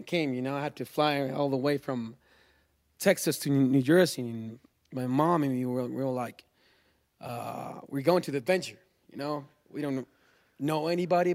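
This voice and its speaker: A man from Chile, a native Spanish speaker with a Chilean accent, tells a personal religious testimony in a halting, informal way. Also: mid-range voice, darkish tone. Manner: halting, informal, peppers talk with "you know" and "man".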